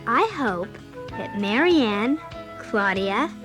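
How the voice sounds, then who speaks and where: A woman playing an earnest, bossy young girl babysitter in an audio drama, with an expressive, youthful way of talking. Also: medium pitch, slow, music in the background. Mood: proud, reflective. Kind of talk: audio drama acting and first-person narration.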